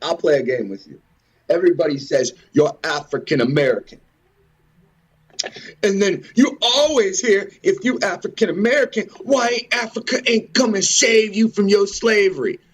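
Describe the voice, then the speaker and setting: A man's voice: sits high, normal speed, slightly rough. A man hosting an informal, impassioned commentary, ranting with repetition, rhetorical questions and swearing. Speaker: host of a religious and historical commentary show.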